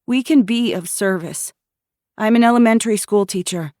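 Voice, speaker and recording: A woman's voice: mid-range voice, moderate pace, darkish tone, dry recording, clean studio sound, voice pushed up, lightly acted. A woman narrator voicing a pregnant refugee woman, a schoolteacher who pleads earnestly for shelter and speaks with a faint twang.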